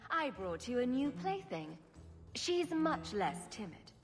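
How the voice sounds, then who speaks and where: Wry, expressive female adventurer. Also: high voice, slow pace, faint music behind, heavily acted. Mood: taunting. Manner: sardonic, self-assured. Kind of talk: video game cutscene acting.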